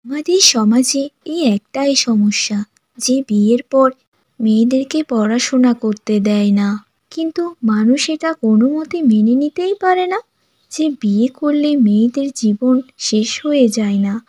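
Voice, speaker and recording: A woman voicing a gentle, earnest young daughter-in-law in a family drama cartoon. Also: on the high side, measured pace, dry recording. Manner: polite, sincere.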